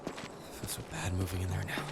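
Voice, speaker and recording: A man's voice: low-pitched, completely flat delivery, fast talker, heavy background noise, close to an everyday voice. A young man acting an anxious, sardonic, sweary video game character with a hesitant, stammering delivery. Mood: sheepish. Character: anxious, sardonic young man hunting answers about his family.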